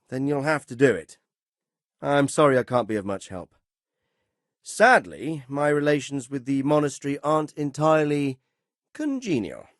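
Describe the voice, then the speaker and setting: An actor playing a dignified, middle-aged medieval lord in a video game, fatherly but shrewd, with a measured, authoritative and well-spoken delivery. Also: a little high, no echo, clean studio sound, natural voice. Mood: serious, fatherly. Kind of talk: scripted game dialogue.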